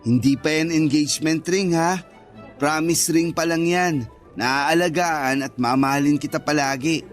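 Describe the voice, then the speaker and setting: An actor playing a sweet, devoted young boyfriend in a Tagalog radio romance, earnest and affectionate. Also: male voice, on the high side, a little slow, close to an everyday voice. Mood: loving. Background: faint music.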